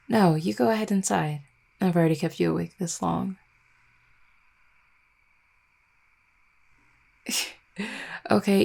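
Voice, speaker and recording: A woman playing a tender, anxious young vampire girlfriend in an intimate audio roleplay, soft-spoken and halting, with a breathy, gravelly low voice. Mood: affectionate, teasing.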